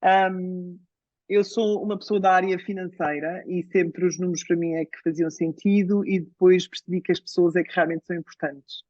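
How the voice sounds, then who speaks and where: A woman with a Portuguese accent, a native Portuguese speaker, talking as a client in a live coaching session, hesitant and reflective with many pauses and restarts. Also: lowish voice, darkish tone, no room sound, highs cut off.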